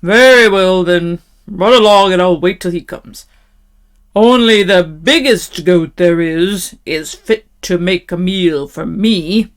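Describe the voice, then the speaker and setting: A woman voicing a big bullying male troll from a storybook, loud and menacing, with drawn-out words. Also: lowish voice. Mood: grudging, greedy. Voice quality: loud, forceful.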